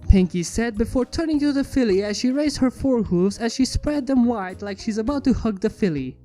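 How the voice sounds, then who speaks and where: A woman's voice: fairly high pitch, lively intonation, brisk, mellow. A woman reading a scripted fan fiction story as its narrator. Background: background music.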